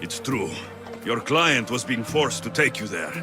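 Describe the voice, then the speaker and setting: An actor playing a gruff, protective mercenary man who talks in blunt, clipped lines, heard in a game cutscene over loud music. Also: mid-range voice, animated, measured pace, close to an everyday voice. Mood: reassuring. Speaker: game protagonist.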